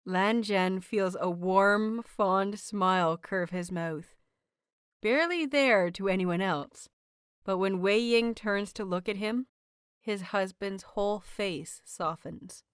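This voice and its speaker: A woman hosting and narrating a fiction audiobook in an expressive, carefully enunciated storytelling style. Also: medium pitch, takes it slowly, smooth, no room sound, studio quality. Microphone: close microphone, dry recording.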